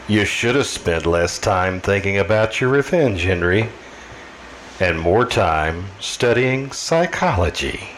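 An actor playing a sinister, sardonic all-knowing radio mystery narrator, mocking and deliberate. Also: male voice, low-pitched, a little hiss or hum. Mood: sardonic scorn.

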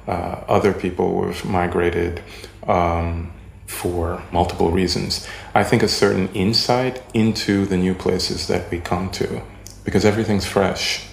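A man from Haiti whose first language is French, answering interview questions in a halting way, with many pauses and ums as he searches for words. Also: sits low, creaky. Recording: echoey room.

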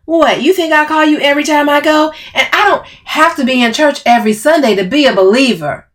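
An overbearing, bossy woman in a dramatic audiobook, acted out by a female narrator. Her voice sits high and she pushes every phrase hard, confrontational and emphatic. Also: expressive, slow. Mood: indignant. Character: Camille, overbearing, furious, taunting woman, bossy cousin.

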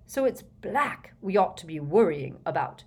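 A woman's voice: on the low side, sing-song, measured pace, slightly rough, exaggerated voice. A woman voicing a flustered, blustering older male wizard minister in a storybook reading, fretful and emphatic. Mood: brisk.

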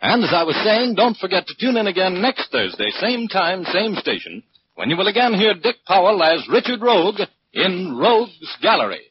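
A man's voice: sits high, big pitch swings, normal speed, slight background noise. A man working as a radio announcer in an emphatic 1940s advertising style. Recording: dull 1940s radio recording. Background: music.

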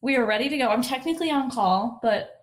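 A woman's voice: fairly high pitch, fast talker. A young woman in her twenties with a nasal voice, co-hosting a podcast in casual chat, swearing and laughing often. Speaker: podcast co-host.

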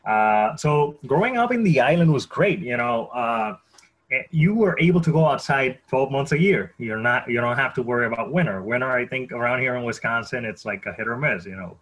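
A man's voice: mid-range voice, animated, fairly quick, fairly bright tone, rough recording. A man in his thirties with a Puerto Rican accent, telling his story conversationally as a podcast guest, often repeating words as he goes. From Puerto Rico.

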